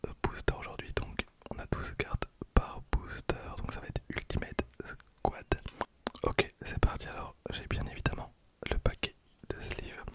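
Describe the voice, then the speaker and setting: A young man speaks entirely in a breathy, voiceless whisper, as an ASMR host would. He is close to the microphone, softly commenting in an unhurried way and often repeating his phrases. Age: 20s. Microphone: close handheld-style stereo recorder.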